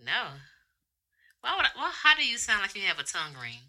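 A man's voice: high-pitched, wildly swooping pitch, a little fast, pushed and bright. A man with a light, boyish voice co-hosting a casual podcast, trading playful comebacks. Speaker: podcast co-host. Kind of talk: casual group chat.

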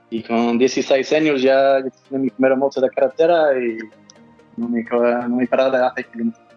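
A man with an Australian accent mixed with Andalusian, answering interview questions in a halting way and often restarting his phrases. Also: mid-range voice, highs cut off. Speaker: interview guest, motorcyclist.

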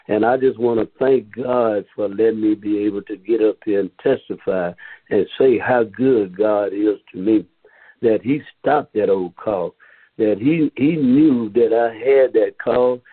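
Church member testifying by phone: husky man, earnest and repetitive, tinny line. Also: lowish voice. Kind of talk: testimony on a church conference call. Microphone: telephone.

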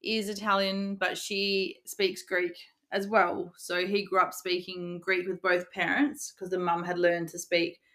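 A woman co-hosting a casual podcast, curious and quick with follow-up questions. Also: fairly low pitch, flat delivery, a bit bright. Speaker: podcast co-host.

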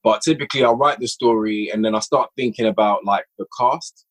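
A young man with a slightly husky voice speaks casually as a podcast guest, explaining how he writes and directs audio stories. His delivery is eager and animated, breaking now and then into quick bursts of energy. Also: mid-range voice. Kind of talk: casual conversation.

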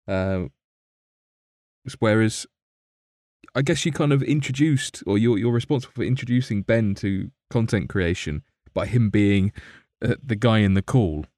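A man with a lowish voice hosts a relaxed podcast. He rambles, restarts his sentences and laughs a lot. The sound is dry and close. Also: unhurried, no room sound. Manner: rambling, laughs often, trips over words. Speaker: podcast host. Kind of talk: casual podcast chat.

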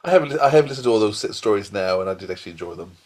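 A man's voice: lowish voice, animated, rapid-fire, a little mellow. A man co-hosting a podcast in a chatty, explanatory, casual way. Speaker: podcast co-host. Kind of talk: casual chat.

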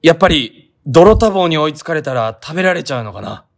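A man's voice: fairly high pitch, lively intonation, brisk, creaky. A man playing a mild, naive, resigned young sightseer in a comedic radio drama, plain-spoken and bemused.

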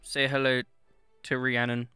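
A man's voice: mid-range voice, monotone, a little slow, pushed and bright, clear, resonant tone, no echo, faint music behind. A young man hosting a podcast, talking in a chatty, self-deprecating way with lots of fillers, recorded on his own microphone at home. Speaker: podcast host. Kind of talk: casual podcast chat. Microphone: headphones monitored through his own microphone.

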